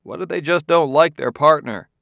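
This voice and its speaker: A man's voice: a little high, normal speed, vocal fry, fairly bright tone, no room sound, voice pushed up. Uneasy careful detective guy, read by a man, slightly raised voice, dry close audiobook sound. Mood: wary. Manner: careful, hesitant questioning. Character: cautious, uneasy male detective from Earth. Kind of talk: audiobook character voice.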